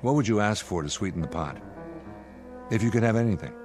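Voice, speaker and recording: An American man playing a stern, steady spaceship commander in a radio drama, with an authoritative, clipped, lecturing manner. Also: fairly low pitch, brisk, close to an everyday voice. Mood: probing. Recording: dramatic music behind the voice.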